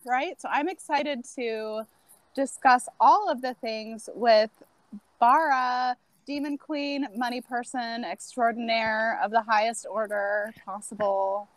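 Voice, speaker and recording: A woman's voice: on the high side, slow, dry recording, low-quality recording. A woman hosting a podcast in casual chat, bubbly and peppered with "like". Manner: bubbly, many "like" fillers. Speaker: podcast host.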